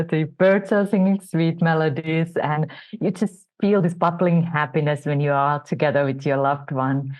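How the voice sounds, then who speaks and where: A woman from Finland with a Finnish accent, a guest on an interview show, sharing her personal story in a soft, reflective way. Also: low voice, highs cut off.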